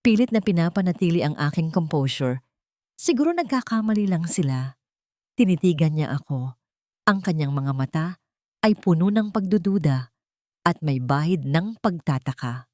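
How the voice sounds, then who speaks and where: Female audiobook narrator, lowish voice, dry close sound, steady first-person drama reading. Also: normal speed, no echo.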